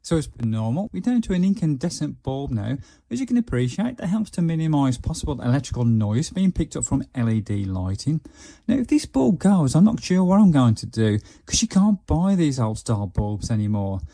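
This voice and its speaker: Gravelly, mellow English man reviewing gadgets. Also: mid-range voice, sing-song, brisk. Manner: chatty, talking through each step as it happens. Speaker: reviewer of budget radios. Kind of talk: casual hands-on product review. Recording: noisy camera microphone, faint hiss.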